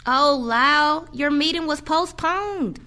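Woman acting a high, bright, impatient nurse. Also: slow, exaggerated voice. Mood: impressed. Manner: curt, scolding. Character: impatient, exasperated care-home nurse. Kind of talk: audio play acting.

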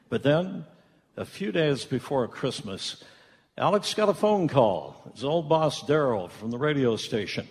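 A folksy narrator of a holiday radio play. His voice sits at a medium pitch and carries through a large, reverberant hall. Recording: reverberant hall stage recording.

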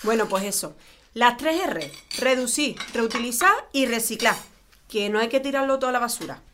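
An actress playing a caring middle-aged mother with a warm, coaxing, chatty manner. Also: on the high side, expressive, moderate pace, background noise, natural voice. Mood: cheerful. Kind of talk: comic family sitcom. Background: dinner table, clinking cutlery.

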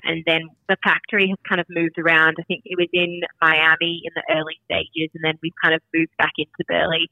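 A woman's voice: low-pitched, fairly quick, vocal fry, few pauses, dry recording, poor audio. A woman, a podcast guest talking loosely and rambling with lots of "kind of" and "like". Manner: relaxed, rambling, says "kind of" and "like" a lot. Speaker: guest, marketing manager. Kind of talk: casual interview chat.

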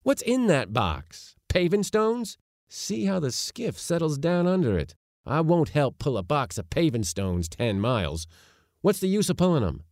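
A man's voice: medium pitch, sing-song, normal speed, few pauses, dry recording, studio quality, voice pushed up, lightly acted. A man voicing a boyish young nephew in a storybook reading, exclamatory, disdainful and complaining.

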